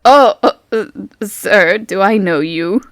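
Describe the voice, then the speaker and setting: A woman voices a polite gentleman in a tabletop roleplay. He is measured, formal and bemused, speaking lower than her natural voice in a very dry recording. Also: no room sound, lightly acted. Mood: puzzled, polite. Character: polite, puzzled older brother, a nobleman.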